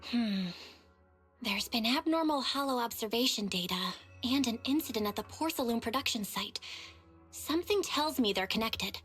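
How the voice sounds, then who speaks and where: Young game heroine, clear bright tone, earnest, casual, bit of music behind. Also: female voice, a little high, animated, moderate pace. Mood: thoughtful, concerned. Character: earnest, level-headed young female investigator.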